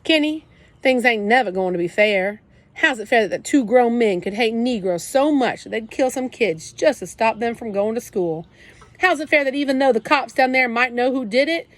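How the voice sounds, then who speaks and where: A tough teenage brother , played by a woman reader, talks down in blunt streetwise slang. He is gruff and scolding, yet protective underneath. The voice sits a little raised, as in a storybook audiobook. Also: fairly high pitch, dry recording, voice pushed up, close to an everyday voice.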